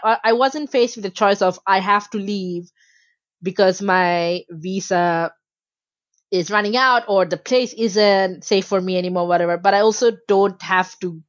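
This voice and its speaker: A woman's voice: medium pitch, no room sound, muffled recording. A woman from Malaysia, a guest in a casual interview, talking with passion and many filler words.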